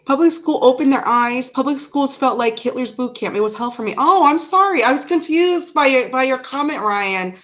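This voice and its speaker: A woman's voice: high-pitched, animated, moderate pace, few pauses, a bit bright. A woman in her forties, her accent sitting between American and Canadian, rambles easily through a casual livestream chat. She talks in loose runs with many "ums" and restarts, like someone answering viewers late into a long session. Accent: mixed American and Canadian. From Canada, raised in South Florida. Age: 40s. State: tired. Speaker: livestream host. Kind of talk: casual chat reading live comments.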